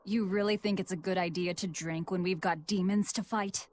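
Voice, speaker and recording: An actress playing a cold, guarded young female demon fighter with a curt, deadpan manner in a video game. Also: fairly low pitch, normal speed, a little hiss or hum, close to an everyday voice. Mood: dry. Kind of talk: English video game voice acting.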